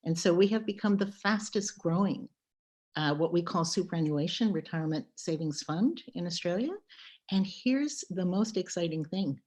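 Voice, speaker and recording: A woman's voice: fairly low pitch, normal speed. A woman from Brazil with an American accent, introducing herself as a board director on a webinar panel in a conversational way, over a video call. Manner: animated, conversational, with fillers.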